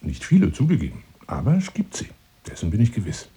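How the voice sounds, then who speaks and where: An actor playing a pompous, lonely hunter seducing a woman in a radio drama monologue, grandiloquent and insinuating, moving between hushed and declaimed phrases. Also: fairly low pitch, wildly swooping pitch, a little fast, breathy edge, exaggerated voice. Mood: insistent, teasing.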